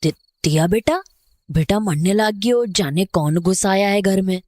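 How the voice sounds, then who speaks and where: A woman's voice: mid-range voice, unhurried, a little mellow, dry recording, natural voice. A woman playing a wary older village caretaker in an audio drama, speaking rural North Indian Hindi dialect in a folksy, cautioning way. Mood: wary, explaining.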